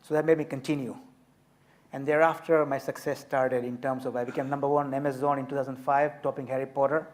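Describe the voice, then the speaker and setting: A man in his fifties, from Tanzania, telling his story as an author and speaker on a festival panel, measured and emphatic. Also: medium pitch, level tone. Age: 50s.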